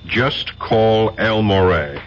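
An actor with an American accent playing a tough, wisecracking, wary federal detective in a 1970s radio drama. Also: male voice, on the low side, slow. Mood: casual, probing. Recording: muffled, hissy 1970s radio recording.

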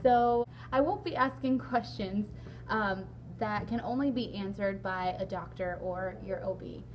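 A young woman talks casually as a vlog host, her voice hoarse and raspy after losing it. She fills pauses with ums and keeps a mid-range pitch. Light background hum sits under her. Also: low-quality recording. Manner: chatty, frequent ums, laughs along. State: hoarse, lost voice. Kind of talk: casual sit-down interview. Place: large indoor hall.

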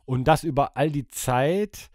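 A man of middling pitch muses aloud in relaxed podcast small talk as a co-host. His voice is captured close up, with very little room around it. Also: unhurried, dry recording. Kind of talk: casual chat, musing and reacting.

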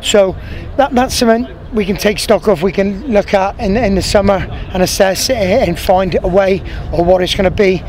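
A man in his thirties with an English accent, a football manager speaking frankly after a match, repeating himself and restarting phrases, recorded pitchside in an outdoor stadium. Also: moderate pace, a little mellow. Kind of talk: post-match interview. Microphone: handheld interviewer mic, medium framing. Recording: outdoor noise and passing traffic.

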